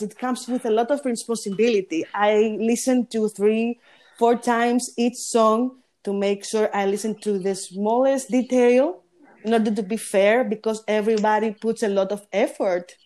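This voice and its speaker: A woman with a deep, gritty voice, a singing competition judge talking in a casual interview and pausing often on fillers. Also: steady tone, warm tone. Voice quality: deep voice with grit. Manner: hesitant, pauses with fillers.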